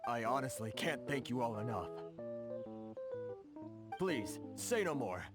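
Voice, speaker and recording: A timid merchant from an anime game, speaking in a mid-pitched, very animated voice. He is deferential and hesitant, stumbling over words. Loud music runs behind him. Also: slow pace. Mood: grateful. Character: timid, earnest male merchant, humbly polite. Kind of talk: anime video game voice acting.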